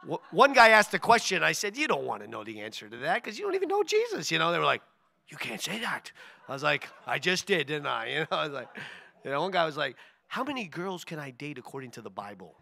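Man pastor, raspy and bright. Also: a little high, fast talker. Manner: joking, storytelling, animated. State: raspy, tired voice. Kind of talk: casual sermon announcements. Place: church stage. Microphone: stand mic at a pulpit.

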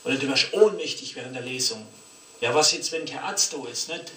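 A man's voice: medium pitch, lively intonation, a little fast, bright, forceful tone. A man giving a colloquial, rambling comic monologue with tag questions, played from a cassette recorder. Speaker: poetry slam performer. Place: cassette playback in a cinema hall, picked up from the audience. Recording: distant, echoey, faintly noisy cassette playback in hall.

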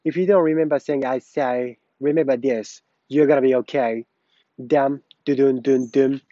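Man, forties, reciting a speech from memory, mellow voice, dry slightly muffled sound. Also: medium pitch, steady tone, normal speed, no room sound. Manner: recites from memory, repeating and correcting phrases. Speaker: English learner reciting a speech. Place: beside a plain wall with a vent.

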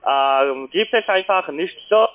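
A man with a foreign accent in German giving a hesitant, polite sales pitch as a call centre agent, often ending on little questions. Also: lively intonation, slow pace. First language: not German. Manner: hesitant, polite, repeatedly tags sentences with questions. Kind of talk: halting phone sales pitch. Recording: muffled, narrow telephone line.

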